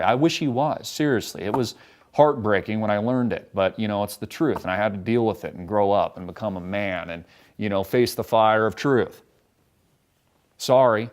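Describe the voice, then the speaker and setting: A man, ranting sarcastically and combatively in profane political commentary. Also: lowish voice.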